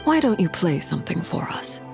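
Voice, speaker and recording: A woman's voice: lowish voice, sing-song, brisk, gravelly, over music. A woman voicing a poised, commanding woman in a video game, formally courteous, composed and dryly amused.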